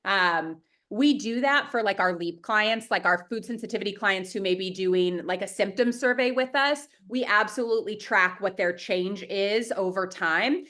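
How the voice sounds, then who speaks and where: A woman's voice: mid-range voice, normal speed, hardly any pauses, a bit bright. A woman with a head cold, sounding a little sniffly, talking enthusiastically and openly as a dietitian guest on a video call. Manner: enthusiastic, open and talkative. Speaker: dietitian running private practices, guest. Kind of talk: interview answers over video call.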